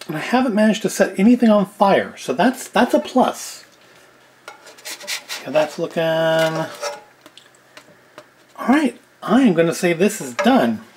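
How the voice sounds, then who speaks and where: A middle-aged man giving casual cooking and food review commentary with dry, self-deprecating humour. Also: high voice. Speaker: video host. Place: indoors at home, kitchen and tabletop set.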